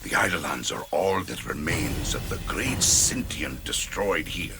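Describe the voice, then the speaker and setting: Solemn game mentor, really low and slow, grave, music blasting behind. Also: male voice, lively intonation, exaggerated voice. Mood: grave warning. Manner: solemn, deliberate. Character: grave male guide warning of a monster. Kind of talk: video game voice acting. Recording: loud music and rumble behind.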